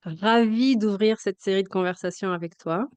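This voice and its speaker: Slow-speaking female interviewer, mellow smooth tone, hesitant phrasing, dry sound. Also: mid-range voice, no echo. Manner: slow and hesitant, many fillers. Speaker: host. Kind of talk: interview conversation.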